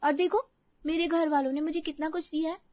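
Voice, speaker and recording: A woman's voice: sits high, fairly even intonation, brisk, dry recording, muffled recording, close to an everyday voice. A woman playing a proud, sweet-talking young married daughter in a Hindi moral story cartoon. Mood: smug.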